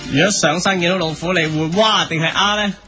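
An actor playing an upbeat, mischievous quiz show host in a radio comedy, with a Hong Kong Cantonese accent and a theatrical announcer's delivery. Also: male voice, sits high, steady tone, fast talker, bright, forceful tone, faint music behind. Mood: teasing, explaining.

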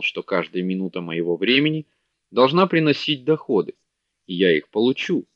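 A man's voice: low-pitched, fairly bright tone, no echo. A man voicing a sleepy, greedy, fat male merchant who talks down to people, on a dull, dry audiobook recording. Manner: haughty, contemptuous.

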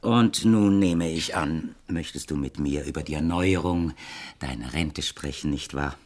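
A man's voice: rumbling low, measured pace, darkish tone. An actor playing a wealthy, flippant bachelor in his thirties with urbane, mocking politeness, in a German radio play from around 1960. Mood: suavely teasing. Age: 30s. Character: wealthy, flippant bachelor nephew of thirty-eight, suavely evasive.

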